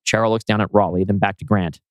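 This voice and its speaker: A man's voice: lowish voice, fairly even intonation, fast, no room sound. A man reading scripted screenplay narration as writer and narrator.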